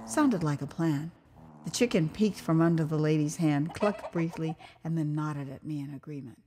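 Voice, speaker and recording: A woman's voice: low-pitched, normal speed, warm tone, a little hiss or hum. An American woman narrating her own memoir as an audio drama, in a wry, conversational storytelling manner. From United States. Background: street ambience and vehicle sound effects.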